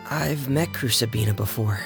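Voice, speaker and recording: A man voicing a quiet, earnest, melancholy young man in a video game, speaking in a measured, subdued way. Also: medium pitch, vocal fry, over loud music, natural voice. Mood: somber, reflective.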